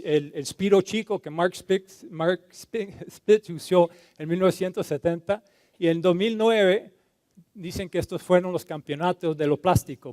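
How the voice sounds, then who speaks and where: A man from Colombia giving a conference talk, halting and repeating words, slipping into terms now and then. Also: fairly high pitch, a little mellow, dry recording. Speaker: conference speaker. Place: conference hall stage.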